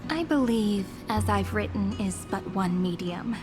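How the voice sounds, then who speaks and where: Woman acting a gracious lady chronicler, mid pitch, poised, polite, game dialogue, music behind. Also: expressive, unhurried, lightly acted. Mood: gracious, earnest. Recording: loud background music.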